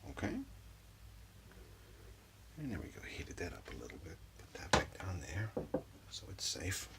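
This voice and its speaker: A man doing soft-spoken ASMR as an energy healer, murmuring soothingly and repetitively in a breathy, gravelly voice, close up in a small room at home. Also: very animated, unhurried, very noisy. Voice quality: whispery, breathy, low and gravelly. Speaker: ASMR performer giving a reiki session.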